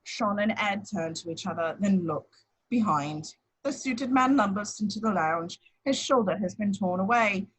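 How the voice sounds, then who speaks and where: Female narrator for screenplay action lines, medium pitch with a husky, unpolished tone. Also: expressive, normal speed, poor audio.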